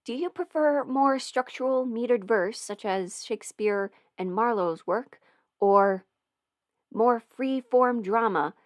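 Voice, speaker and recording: A woman's voice: mid-range voice, dry recording, clean studio sound. Chatty young woman on a livestream. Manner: rambling, giggly, many fillers. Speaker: livestream host answering questions. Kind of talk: casual livestream Q&A. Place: home, eating ramen. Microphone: close microphone.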